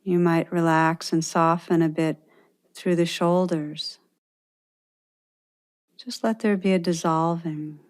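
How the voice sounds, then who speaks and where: Slow, low-voiced woman guiding meditation. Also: steady tone. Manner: gentle, unhurried instruction with pauses. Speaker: meditation teacher. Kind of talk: guided meditation.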